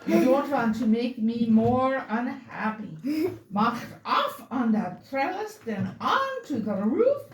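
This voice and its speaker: Woman doing a grumbling old man, storybook cattle driver, drawn-out commands, distant mic. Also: on the high side, sing-song, heavily acted. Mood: grumpy, threatening. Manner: bossy, growling commands in rhyme. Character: grumbling, sour old Christmas visitor man driving cattle. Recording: roomy, distant mic, faint noise.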